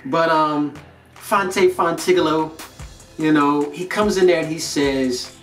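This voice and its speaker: A man's voice: a little high, slow, a bit bright. American guy giving a slangy pep talk to camera, bit far from the mic, music behind him. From North Carolina, United States. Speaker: host of a motivational video series. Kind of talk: casual motivational talk to camera. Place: indoor studio with plain grey backdrop. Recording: roomy echo, distant mic, music behind.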